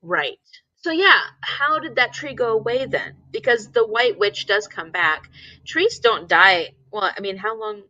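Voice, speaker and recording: A woman with a bright, forward voice chats casually as she hosts a book discussion. She thinks aloud and often turns her thoughts into questions. The sound is dry and a little muffled. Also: mid-range voice, animated, unhurried, no echo. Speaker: teacher.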